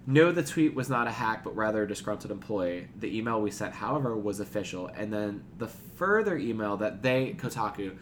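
A male podcast co-host with a fairly low voice speaks in a casual register, chatting or reading items out. There is a little noise behind him on the recording. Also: expressive, fairly quick, few pauses.

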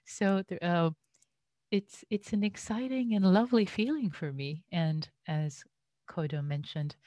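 Slow, hesitant low-voiced woman teacher. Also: mellow, no room sound. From Iran. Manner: slow, hesitant, many fillers and restarts. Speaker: Buddhist teacher. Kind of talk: dharma talk. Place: home, online session.

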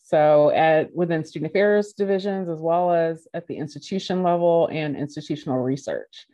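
A woman in her forties, a podcast guest answering questions in a lively, conversational way with frequent laughs. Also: deep voice, normal speed. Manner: animated, laughs while telling stories.

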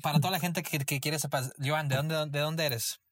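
A man's voice: fairly high pitch, fairly even intonation, normal speed, a bit bright. A man from Mexico, hosting a podcast in easygoing, teasing casual chat. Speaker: podcast host.

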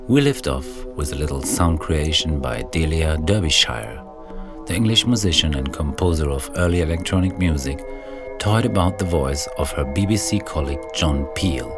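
A man hosting a radio music show, giving calm, measured scripted narration between tracks. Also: extremely low pitch. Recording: music playing under the voice.

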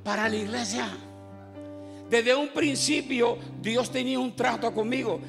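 A man's voice: very high voice, measured pace, gravelly. A middle-aged man, preaching a joking testimony sermon and mixing in English, over guitar music. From Puerto Rico. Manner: joking, storytelling, mixes in English. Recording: guitar music playing behind.

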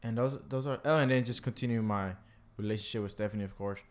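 A man who grew up in El Salvador, chatting casually and candidly with friends, swearing freely and speeding up when excited. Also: lowish voice. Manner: candid, profane, talks fast when excited. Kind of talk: casual chat.

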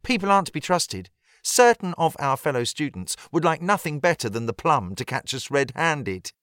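Audiobook: male reader as eager young female student, confiding and bossy, animated intonation, close dry sound. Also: on the high side, moderate pace, no room sound, voice pushed up. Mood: gushing, conspiratorial. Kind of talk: acted audiobook dialogue.

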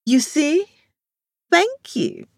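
A woman voicing a sharp, teasing female friend in an audiobook, full of retorts and mock innocence. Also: high voice, big pitch swings, drawn-out words, dry recording, clean studio sound, pitched above the performer's own voice, full character voice. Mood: gloating.

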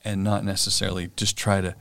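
Male podcast guest, lowish voice, earnest and reflective, dry close sound. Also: little pitch movement, fast talker, creaky, fairly bright tone, clean tone, dry recording. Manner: earnest, reflective, uses fillers. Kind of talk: conversational interview.

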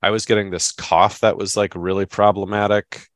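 A stuffed-up man with a low voice, chatting easily as a podcast co-host. He rambles along with enthusiasm. The sound is close and dry, with no room around it. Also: flat delivery, normal speed, no room sound. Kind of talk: casual chat.

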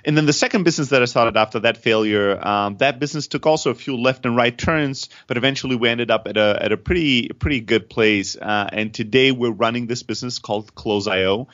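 A man's voice: medium pitch, a little fast, few pauses. A man in his thirties from Greece, raised in Germany, a startup founder telling his story in an interview with energy and many restarts. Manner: energetic, frequent restarts and repeated words. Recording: slightly dull lo-fi audio.

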